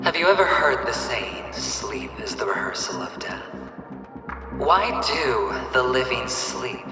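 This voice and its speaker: An actor playing a flamboyant, cunning young gambler in a video game, with a theatrical, taunting, drawn-out delivery. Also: male voice, mid-range voice, huge pitch swings, distant mic. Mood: ominous, philosophical. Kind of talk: video game cutscene acting. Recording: echoey hall reverb, music behind. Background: music.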